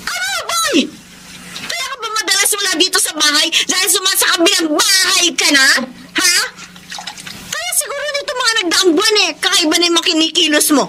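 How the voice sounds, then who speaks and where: Suspicious Filipina wife for radio drama: very high, swooping voice, accusatory, noisy track. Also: female voice, very animated, a little fast. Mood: hurt, furious. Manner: nagging, accusatory, interrogating. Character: suspicious, hot-tempered young wife confronting cheating husband.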